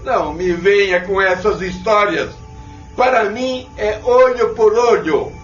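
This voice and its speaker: A man's voice: high voice, soft background music. An actor playing a vengeful, suffering spirit of a wronged father in an audio drama, anguished and defiant. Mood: bitter, vengeful. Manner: slow, anguished, defiant delivery. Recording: dull lo-fi audio drama recording, roomy echo.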